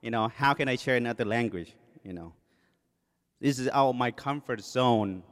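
A man in his forties speaks English with a Thai accent from a church podium, joking at his own expense and leaning on fillers. His voice sits at an ordinary middle pitch. The sound rings out with the long reverb of a church sanctuary. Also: moderate pace, fairly bright tone. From Thailand. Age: 40s. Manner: self-deprecating, joking, with many fillers. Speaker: guest preacher. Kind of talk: sermon introduction. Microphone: podium microphone.

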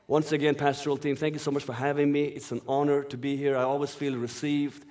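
A man's voice: fairly high pitch, flat delivery, fast talker, darkish tone. A man born in Iran and raised in Sweden, whose first language is Farsi, preaching a sermon as a guest speaker and reading scripture in an earnest, flowing way.

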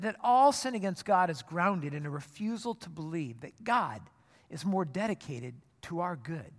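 A pastor delivers a sermon in a plain, conversational way, earnest and direct. The voice sits in a middle pitch and often stumbles over a repeated word before going on. It rings through a church sanctuary with a long reverb. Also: a bit bright. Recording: long reverb of a large hall.

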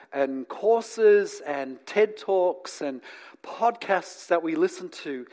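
A man from England who grew up in New Zealand and lives in Australia, preaching in a conversational, teacherly way with asides to his listeners and deliberate pauses. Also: high voice. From born in England, grew up in New Zealand, lives in Australia. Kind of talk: conversational sermon to an audience.

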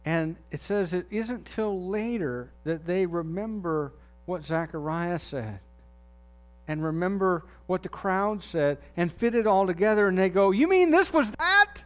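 A man with a notably high voice delivers a sermon in an easy, conversational manner. He often sinks low and quiet to land a key phrase. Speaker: pastor.

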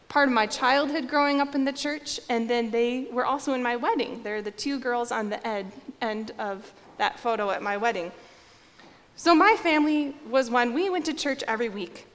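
A young woman pastor from Iowa delivers a sermon in an earnest, measured storytelling manner. Her voice sits a little high. The church around her adds a long, lingering reverb. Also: fairly bright tone. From Iowa, United States. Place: church sanctuary.

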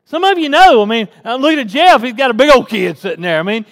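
A man's voice: very high voice, animated, quick pace, long reverb tail. A man, preaching a casual, folksy sermon to his congregation and rising to fervent emphasis. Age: 40s. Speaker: pastor. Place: church sanctuary.

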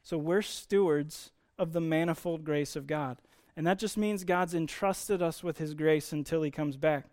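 An American man with a voice a little higher than average speaks as a Bible teacher. He explains scripture in an informal, conversational way. Key phrases get slower, deliberate emphasis. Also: darkish tone.

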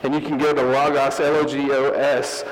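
A man's voice: mid-range voice, little pitch movement, moderate pace, in a large hall, low-quality recording. A man preaching a teaching sermon, earnest and explanatory, often restarting his phrases. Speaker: pastor.

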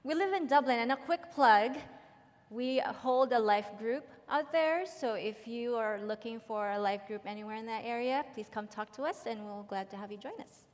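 A woman's voice: on the high side, moderate pace. A middle-aged woman from the Philippines, giving a prepared personal testimony in a large church hall. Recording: long hall reverb.

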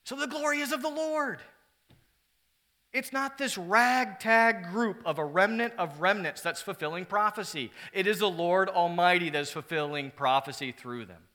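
A male pastor delivering a sermon with a bright, forward voice that sits a little high. He pushes hard on key lines, then drops to a hushed, earnest tone. He jokes with the congregation between points. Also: normal speed. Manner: passionate, swings between loud emphasis and hushed earnest tones; humorous asides to the congregation.

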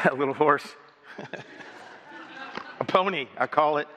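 Slightly hoarse pastor, bright tense voice. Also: male voice, fairly high pitch, takes it slowly. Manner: chatty, self-joking, stammering restarts. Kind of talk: sermon with scripture reading. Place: church sanctuary. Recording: dull sound with long hall reverb.

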